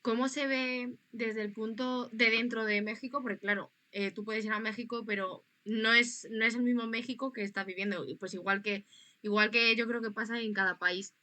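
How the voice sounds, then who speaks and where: A woman's voice: a little high, fairly even intonation, normal speed, bright, forceful tone. A young woman with a northern Spanish accent, informally interviewing in a chatty, colloquial way as a student. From Spain. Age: young adult.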